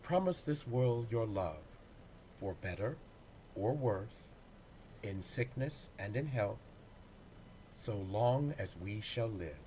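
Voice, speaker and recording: Slow, measured man reciting poetry. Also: fairly low pitch, animated, dark tone, a little hiss or hum, rough recording. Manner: measured, deliberate phrasing with pauses. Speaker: actor reading poems.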